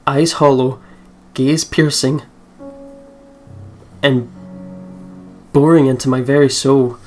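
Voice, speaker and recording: A man's voice: mid-range voice, steady tone, takes it slowly, darkish tone, faint music behind. A man reading a visual novel aloud as a let's player, with casual asides.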